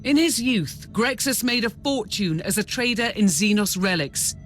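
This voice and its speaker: Game role: measured, wry aide to an inquisitor female actor, mid register, some music underneath. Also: medium pitch, lively intonation, natural voice. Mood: composed, informative. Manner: measured, formal, dryly wry. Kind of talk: video game cutscene dialogue.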